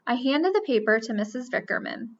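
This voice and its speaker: A woman reading a children's picture book aloud with expressive storytelling. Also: fairly high pitch, brisk. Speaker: reader. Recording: dull lo-fi recording.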